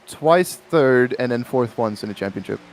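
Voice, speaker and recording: A man's voice: medium pitch, lively intonation, moderate pace, a little hiss or hum. A man from Belgium, a sim racing driver, chatting casually and modestly in an interview over online voice chat.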